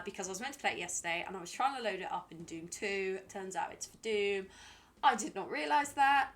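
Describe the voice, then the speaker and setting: A British woman streaming a game, talking to herself and chatting to viewers in a dry, rambling way. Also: medium pitch. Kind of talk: live gameplay commentary.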